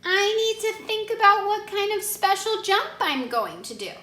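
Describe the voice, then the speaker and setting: Lady doing a little storybook boy, high bright voice, eager but nervous, acting brave, echoey. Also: sing-song, normal speed, full character voice. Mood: nervous, stalling. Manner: eager, hesitant, boastful child talk. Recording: echoey room.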